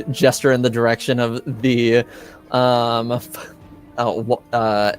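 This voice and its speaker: A man improvising narration as a tabletop game master, in halting, hesitant, piecemeal phrases. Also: medium pitch, flat delivery, soft background music.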